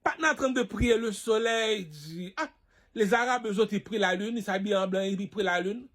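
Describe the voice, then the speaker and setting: This is a man with a notably high voice for his sex. He speaks like an online personality holding forth to his followers in loose slang. He boasts and provokes, and keeps hammering the same catchphrases as he rambles. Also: normal speed, a bit bright. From Haiti. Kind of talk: rambling, slangy monologue to camera.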